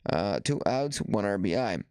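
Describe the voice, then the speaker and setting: A man with a congested, stuffy nose talks through softball results and headlines in a halting way, stopping for fillers and restarts. His voice is smooth and even, and it sounds dry and close, with no room around it. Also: on the low side, no echo. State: stuffy nose after the flu. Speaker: softball journalist hosting a show. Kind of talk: casual commentary and reading news aloud.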